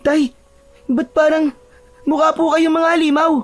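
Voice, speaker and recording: A woman's voice: high-pitched, level tone, measured pace, no echo. A woman voicing a frightened, pleading young child in a dramatized story. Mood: scared, confused.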